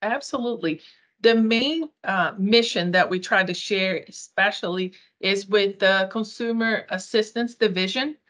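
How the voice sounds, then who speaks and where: A woman's voice: medium pitch, a little slow, a bit bright. A woman in her thirties whose first language is Portuguese, talking earnestly about her outreach work in a video-call interview. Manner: earnest, upbeat, some restarts. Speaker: community outreach coordinator. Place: small room.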